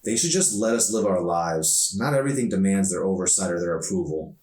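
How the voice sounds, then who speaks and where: A man's voice: sits low, expressive, a little fast, darkish tone. Chatty sardonic teenage girl acted by a man, echoey. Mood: exasperated. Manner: slangy, emphatic, complaining. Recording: roomy echo, distant microphone.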